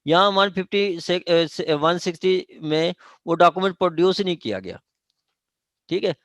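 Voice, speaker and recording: A man with a Pakistani accent explaining law to a class informally and haltingly, often checking that they follow. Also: high-pitched, animated, a bit bright, dry recording. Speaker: law lecturer. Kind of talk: informal classroom legal explanation with question and answer.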